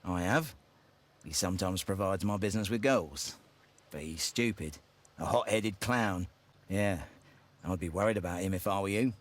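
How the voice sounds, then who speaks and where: Low-voiced underworld boss played by an actor. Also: male voice, normal speed, lightly acted. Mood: sneering, threatening. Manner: offhand, sneering, veiled threats. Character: dismissive, menacing male London underworld brothel owner. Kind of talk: dramatic video game acting.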